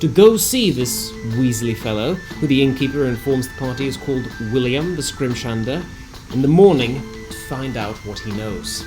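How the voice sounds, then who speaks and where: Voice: mid-range voice, lively intonation, normal speed, hardly any pauses, poor audio. Storyteller recapping an adventure over music. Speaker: narrator recapping a tabletop game session. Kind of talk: scripted story recap narration.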